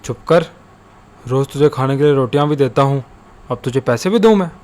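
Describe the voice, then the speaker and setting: A man playing a stingy, gruff roadside eatery owner, bossy and dismissive, in an animated moral story. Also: medium pitch, fairly quick, lightly acted. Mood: angry, dismissive.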